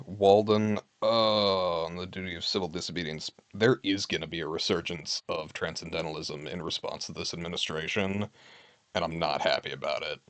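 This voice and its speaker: A man's voice: deep voice, no echo. A man streaming from home, chatting casually with his viewers in a candid, wry way. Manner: candid, wry, reads list items aloud and comments.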